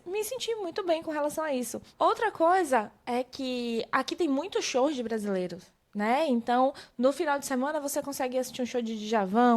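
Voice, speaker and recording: A Brazilian woman with a Brazilian Portuguese accent and a high voice hosts a relaxed conversation. She tells her own stories with energy. She jumps up in pitch when she quotes herself. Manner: animated storyteller, quotes herself in high bursts. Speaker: podcast host. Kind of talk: casual conversational interview.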